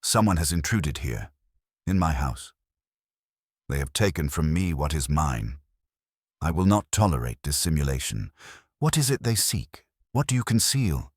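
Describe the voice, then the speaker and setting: Man voicing an imperious deep-voiced genius detective. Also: sing-song, breathy edge, no room sound, studio quality, full character voice. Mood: indignant, demanding. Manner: slow, precise, formal. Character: imperious middle-aged male genius detective, stern and deliberate.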